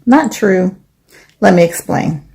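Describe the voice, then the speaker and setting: A woman's voice: medium pitch, lively intonation, slow, creaky, a little mellow. A woman giving blunt, teasing opinions in a casual social media video. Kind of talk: casual commentary.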